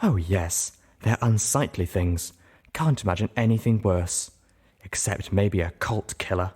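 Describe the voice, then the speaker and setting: A man's voice: lowish voice, a little slow, a little mellow, slightly rough. Man voicing a haughty refined lady unicorn. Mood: sarcastic. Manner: prim, pointed, formal phrasing.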